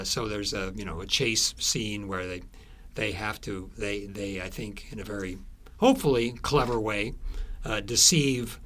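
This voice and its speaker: A man with a fairly low voice talks as a guest author in an interview. He tells stories conversationally, often repeating words and drifting into asides before finishing a thought. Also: a bit bright.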